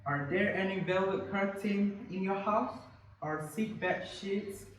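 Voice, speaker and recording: Far from the mic in a very reverberant room, a man plays a fairy godmother's helper. He is blunt and practical, with a fairly high voice. It is scripted readers' theatre over faint noise. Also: a little slow. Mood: matter-of-fact.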